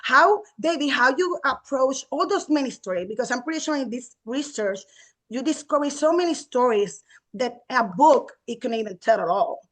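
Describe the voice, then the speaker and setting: A woman's voice: high voice, lively intonation, normal speed, a bit bright, rough recording. Effusive Puerto Rican woman interviewer. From Puerto Rico. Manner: effusive, asks long rambling questions.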